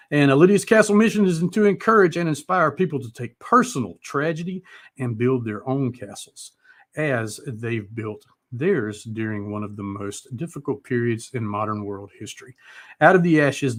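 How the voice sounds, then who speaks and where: A man's voice: mid-range voice, lively intonation, moderate pace, a bit bright. Middle-aged male music show host, informal and rambling, with a salty, unpolished livestream style. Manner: informal, mildly profane, rambling. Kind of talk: casual live-stream interview chat.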